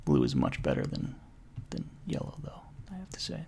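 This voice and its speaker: A man casually talking himself through a software tutorial, rambling and joking, with the occasional curse. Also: extremely low pitch, wildly swooping pitch, normal speed, creaky, whispered. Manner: rambling, jokey self-talk with mild swearing. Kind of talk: casual screen-recorded tutorial.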